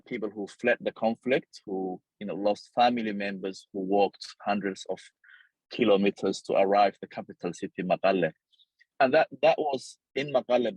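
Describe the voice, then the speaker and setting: A man's voice: lowish voice, darkish tone, no room sound, low-quality recording. A man with a Somali accent, speaking as a journalist in an interview, reflecting earnestly and sometimes repeating his phrases.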